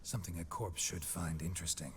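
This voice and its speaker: A man's voice: low-pitched, steady tone, quick pace, natural voice. An actor playing a terse, dry-humored male monster hunter in a video game. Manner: dry, clipped and understated. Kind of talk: video game cutscene acting. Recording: game audio with noisy ambience.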